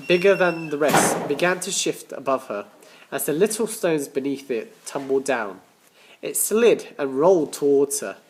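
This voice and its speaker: Male teacher reading a children's story. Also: on the high side. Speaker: primary school teacher.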